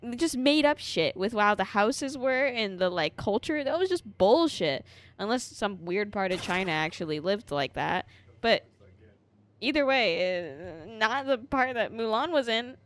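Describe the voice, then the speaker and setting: A woman's voice: mid-range voice, big pitch swings, unhurried. A chatty, opinionated woman doing casual, sweary commentary while live streaming a game. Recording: game music behind the voice.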